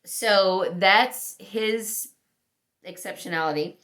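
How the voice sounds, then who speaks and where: A woman talking casually as a book vlogger, thinking aloud in halting, conversational asides. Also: mid-range voice, fairly bright tone. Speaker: book vlogger reviewing recent reads. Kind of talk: casual chat to camera.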